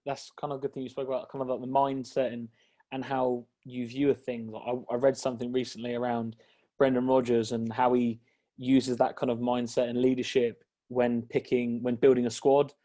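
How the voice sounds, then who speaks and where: A man's voice: mid-range voice, little pitch movement, moderate pace, dark tone. A man hosting an interview podcast on a call, chatty and easygoing, with many fillers. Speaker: podcast host. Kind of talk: casual interview. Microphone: video call.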